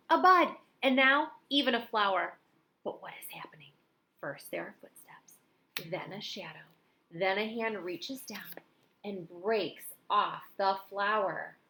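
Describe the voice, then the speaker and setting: A middle-aged woman reading a children's picture book aloud in an expressive way with dramatic emphasis. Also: mid-range voice, slight background noise. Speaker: storybook reader.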